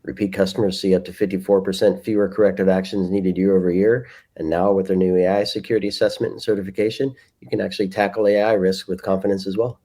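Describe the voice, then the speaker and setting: Male sponsor reader, very deep and quick, mellow tone, scripted promotional copy. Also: flat delivery. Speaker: sponsor message presenter. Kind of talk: scripted two-person ad read.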